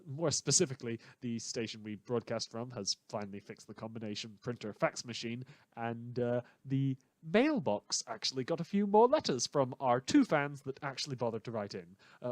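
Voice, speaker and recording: Wry, shady male radio host played by a man for an audio drama: sardonic, halting asides, theatrical emphasis, very dry sound. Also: mid-range voice, no echo, natural voice. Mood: wry, rambling. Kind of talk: scripted fictional radio broadcast monologue.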